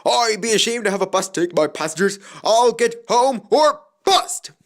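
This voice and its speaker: A man voicing an old, gallant male steam engine in a storybook, speaking in loud, earnest exclamations and puffing as he goes. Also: mid-range voice, expressive, slow pace, pitched below the performer's own voice. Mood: struggling bravely. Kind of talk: storybook character voice.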